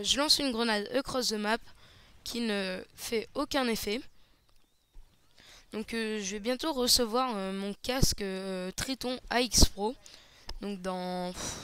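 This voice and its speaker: Guy narrating his shooter match, kinda hoarse, lots of ums, dead dry mic. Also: sits high, pushed and bright, dry recording. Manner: hesitant, many fillers, casual play-by-play. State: slightly hoarse. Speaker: gaming channel host. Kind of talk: gameplay commentary.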